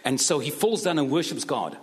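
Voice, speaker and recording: A man preaching in short phrases to a church, pausing for an interpreter. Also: fairly high pitch, animated. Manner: speaks in short phrases, broken German asides. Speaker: guest preacher. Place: church hall. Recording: long hall reverb.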